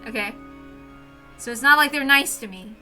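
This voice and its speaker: A woman's voice: on the high side, normal speed, bright, forceful tone, soft background music. A woman hosting a read-aloud series, chatting casually in an emphatic, informal way. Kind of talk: casual chat and dramatic reading of a visual novel.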